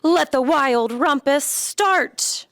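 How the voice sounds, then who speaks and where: A woman's voice: sits high, drawn-out words, fairly bright tone, dry recording, clean studio sound, voice pushed up, exaggerated voice. A woman voicing a bold, mischievous, commanding little boy in a storybook. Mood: excited.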